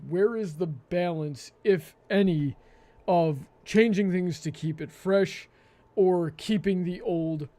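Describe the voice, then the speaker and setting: A man hosting a live panel discussion, with a hesitant, halting delivery that often stammers and repeats words. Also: on the high side, warm tone. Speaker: host.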